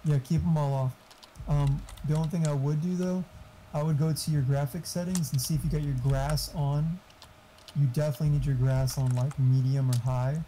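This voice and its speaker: A man's voice: a little high, fairly even intonation, moderate pace, dark tone, slight background noise, rough recording. A man chatting casually, explaining tech settings in an informal, mildly profane way. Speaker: friend giving tech advice. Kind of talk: casual chat.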